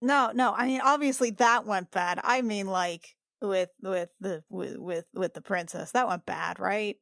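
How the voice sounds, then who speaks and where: A woman's voice: mid-range voice, a little slow, gravelly, a bit bright, no room sound. Woman in casual game chat, very dry recording. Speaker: player in a tabletop roleplaying game podcast. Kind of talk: casual improvised in-character chat.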